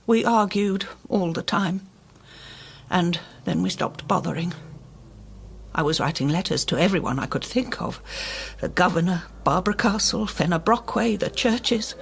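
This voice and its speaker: An actress playing a haunted, guarded older woman in a radio drama, husky and hesitant in her speech. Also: lowish voice, lively intonation, normal speed, slight background noise. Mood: regretful.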